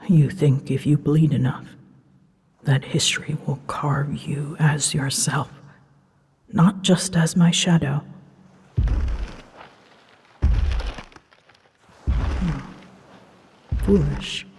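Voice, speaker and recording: A woman voicing a proud, scolding female dragon who rules seas and storms, imperious and theatrical with hushed, breathy phrases, in a scripted ASMR audio drama. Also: low-pitched, steady tone, takes it slowly, pauses often, in a large hall, a little hiss or hum. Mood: probing, reproachful.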